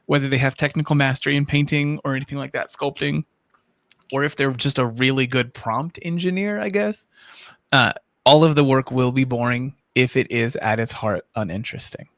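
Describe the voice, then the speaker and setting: Male co-host, mid pitch, gravelly creak, relaxed conversational interviewing, close and dry recording. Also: normal speed, dry recording. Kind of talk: casual chat, asking questions.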